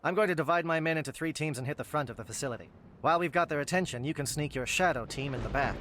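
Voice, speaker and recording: Fast, clipped alien captain acted by a man. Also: on the high side, fairly bright tone, a little hiss or hum, lightly acted. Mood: resolute. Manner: clipped, precise, formal. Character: brisk, pragmatic male alien military captain. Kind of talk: video game voice acting.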